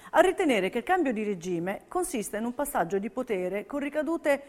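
A woman with a fairly high voice narrates a documentary stage piece. Her delivery is scripted and measured, with every word clearly set out as she reads history and testimony. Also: sing-song.

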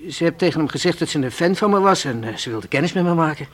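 An actor playing a nervous, defensive young nightclub entertainer in a radio play, with a hesitant, evasive way of talking. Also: male voice, fairly high pitch, lively intonation, fast. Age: young adult.

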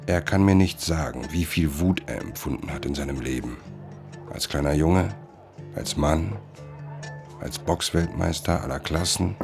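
An actor playing a brooding, world-weary narrator in a radio play, speaking deliberately and dramatically in a gravelly voice. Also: male voice, rumbling low, full character voice. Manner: deliberate, dramatic, short phrases. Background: music.

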